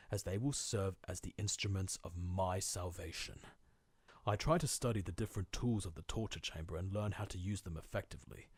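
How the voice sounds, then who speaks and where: Tormented, theatrical man, low and husky. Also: no room sound. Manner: theatrical, formal old-fashioned diction, intense. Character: tormented guilt-ridden man, diarist turned torturer, descending into madness. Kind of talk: dramatic monologue, video game horror fandub.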